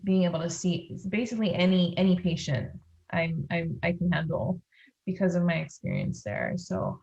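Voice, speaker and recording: A woman's voice: on the low side, lively intonation, moderate pace, creaky, a little mellow. A woman from Los Angeles with a Californian accent, answering as a podcast guest in casual conversation, on slightly dull lo-fi audio. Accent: Californian American. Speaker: podcast guest, pediatric dentist.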